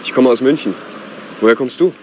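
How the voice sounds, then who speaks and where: A man in his twenties playing a polite young man hunting for a flat, with traffic audible behind him. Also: mid-range voice, a little fast, natural voice. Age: 20s. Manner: polite, lively intonation. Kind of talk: scripted textbook dialogue. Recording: street traffic noise behind. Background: car traffic.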